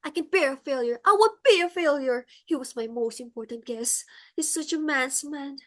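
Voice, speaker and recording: A woman's voice: high voice, normal speed, natural voice. A woman playing an imperious middle-aged society hostess in a comic stage play, theatrical, exclamatory and easily enraged. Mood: indignant.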